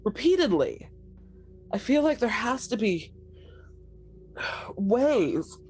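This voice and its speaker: A woman's voice: on the high side, very animated, slow pace, a little mellow, soft background music, pitched above the performer's own voice, exaggerated voice. A woman playing a shaken, sick and desperate young woman with a brooding bent, in a horror tabletop roleplay. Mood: agitated.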